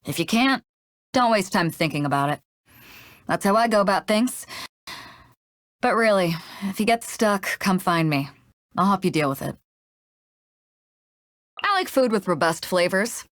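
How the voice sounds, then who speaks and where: A woman's voice: medium pitch, expressive, measured pace, pauses often, a bit bright, dry recording, studio quality. A woman playing a bold, big-hearted ship captain for a video game, with a confident, frank and laid-back manner. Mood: upbeat, assured. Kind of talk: video game character voice lines.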